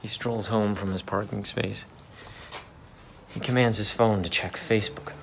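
Man acting a brooding father, gravelly voice. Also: fairly low pitch, steady tone, a little slow, a bit bright. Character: brooding, hesitant married father, lost in wondering. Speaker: actor in a staged reading. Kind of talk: dramatic third-person monologue reading. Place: in a car, then beside a wall. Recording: car and ambient noise behind.

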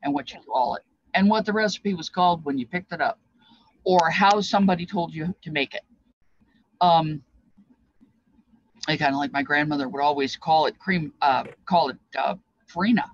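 A woman's voice: low-pitched, moderate pace, pauses often, dry recording. Older woman, rambling talk, dull dry recording. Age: older, past fifties. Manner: rambling, discursive, reflective. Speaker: presenter explaining feast dishes. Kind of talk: informal explanatory talk with reminiscence. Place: small cluttered craft room, indoors. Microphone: webcam at desk distance.

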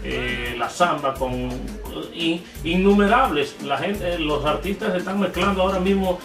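A man's voice: sits high, normal speed, over music. A man hosting a casual radio interview in a small studio, asking questions hesitantly with many fillers. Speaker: radio show host. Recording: echoey room, mic far off.

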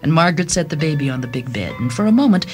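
A woman's voice: low voice, animated, racing, a little mellow. A woman giving a dramatic scripted reading of a short story. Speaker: story narrator. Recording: music bed behind the voice.

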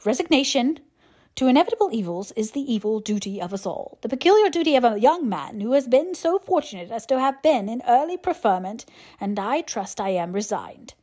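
A woman's voice: fairly high pitch, lively intonation, fairly quick, darkish tone. A woman voicing a pompous, stiffly formal young clergyman in an audiobook, speaking in a stately, long-winded manner. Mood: stiff displeasure. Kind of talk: audiobook character voice.

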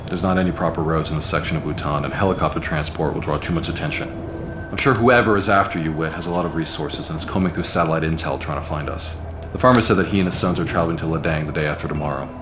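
A weary veteran spy in an audio drama, stern and protective. He speaks quickly and bluntly in a low voice, pressing for answers, in a roomy echo. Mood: practical, firm. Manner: blunt, probing. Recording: roomy echo with clear background noise.

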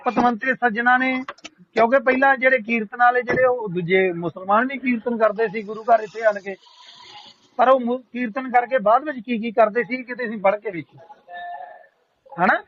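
A man's voice: extremely high pitch, fairly even intonation, moderate pace. A man debating on a phone call, explaining his points persuasively and addressing the other person respectfully. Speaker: caller debating a social media post. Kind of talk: argumentative phone conversation. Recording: muffled noisy phone call recording.

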